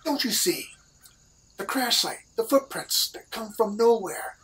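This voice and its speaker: A woman's voice: fairly high pitch, lively intonation, a little slow, a bit bright, breathy edge, full character voice, rough recording. A woman playing a sly schemer in an audio comedy, knowing and insistent, with some faint noise behind. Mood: insistent, hushed. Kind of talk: audio comedy drama acting.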